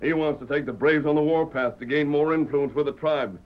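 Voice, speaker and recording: An actor playing a stern, upright masked American cowboy hero in a 1930s radio Western, speaking firmly and with command. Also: male voice, fairly high pitch, fairly quick, lightly acted. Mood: firm, reasoning. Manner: firm, commanding. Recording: dull lo-fi 1930s radio recording.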